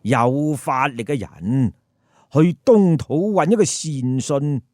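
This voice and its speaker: A man voicing a serene, grave Buddha in measured, solemn pronouncements for a story. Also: medium pitch, animated, darkish tone, no room sound, studio quality. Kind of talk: storytelling character voice.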